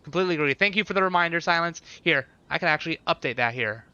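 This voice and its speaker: A man's voice: on the high side, animated, rapid-fire, bright, forceful tone, smooth, no room sound, muffled recording. A man chatting casually with viewers as he streams a game, repeating short phrases and thinking aloud.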